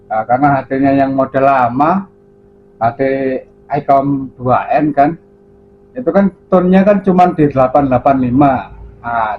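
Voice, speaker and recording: Phone-band man in casual radio chat. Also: mid-range voice. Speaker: amateur radio operator. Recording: narrow phone-like sound, faint hum.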